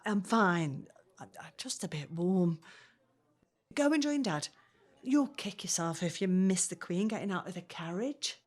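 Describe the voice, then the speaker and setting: An actress playing a reassuring young working-class pregnant woman, gently coaxing, in a 1950s family audio drama. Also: fairly low pitch, lively intonation, measured pace.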